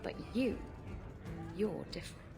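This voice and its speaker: A woman's voice: measured pace, close to an everyday voice. An actress playing a brash, sly, self-assured female rogue in a fantasy video game. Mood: sly, confident. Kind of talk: video game character dialogue. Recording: music behind the voice.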